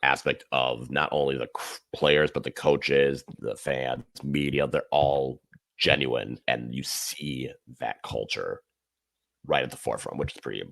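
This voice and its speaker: This is a man who is a guest on a casual sports podcast. His voice is extremely low, with a gravelly fry running through it. He talks in a thoughtful, measured way, often restating a point as he thinks it through. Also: steady tone, a bit bright. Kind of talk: casual soccer podcast chat.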